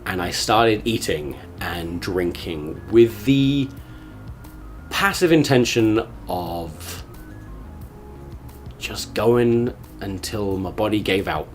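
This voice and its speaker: A man's voice: on the low side, expressive, takes it slowly, soft background music. A man talking to his audience in a confessional, partly scripted vlog, with a self-deprecating way of speaking. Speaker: video creator documenting his weight loss.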